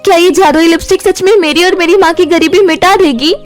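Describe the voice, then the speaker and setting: A woman's voice: very high-pitched, steady tone, fairly quick, faint music behind, voice pushed up. A woman voicing a poor, kind-hearted young girl in a cartoon fairy tale, in an earnest, emotive way. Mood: puzzled.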